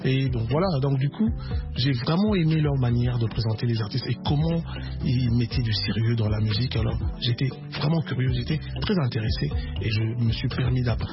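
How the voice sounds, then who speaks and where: Congolese musician, halting radio chat. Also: male voice, mid-range voice, lo-fi sound, music in the background. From Congo (Kinshasa). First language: Lingala. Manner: relaxed, halting, many repeated words. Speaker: musician guest. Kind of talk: radio interview.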